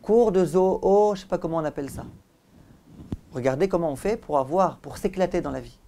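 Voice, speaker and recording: A man's voice: high voice, a little fast, creaky, warm tone, slight background noise. A man giving a religious lecture as a rabbi, speaking in an emphatic, didactic way with repeated phrases and direct questions to his listeners. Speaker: rabbi giving a Torah lesson.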